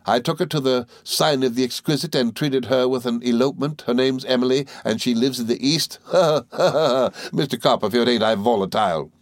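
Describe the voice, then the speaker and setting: A man's voice: medium pitch, no echo, clean studio sound, pitched above the performer's own voice, lightly acted. A man doing a tiny, giggling, volatile woman. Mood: rattling. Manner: rapid, giggling, volatile chatter. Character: tiny, chattering, sly middle-aged woman.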